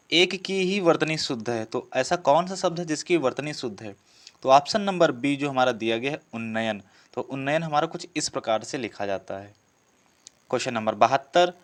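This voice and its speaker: A man gives an instructional walkthrough of practice questions. He explains each answer as a teacher would, often repeating the key word. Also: mid-range voice.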